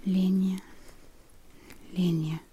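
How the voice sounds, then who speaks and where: A woman's voice: lowish voice, level tone, very slow, dark tone. A woman making spontaneous observations while walking outdoors in a forest. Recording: faint outdoor noise.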